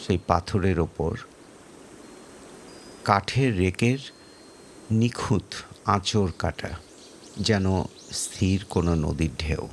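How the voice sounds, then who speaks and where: A man narrating a guided meditation in a measured way, pausing between short phrases. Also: deep voice, slight background noise. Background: faint music.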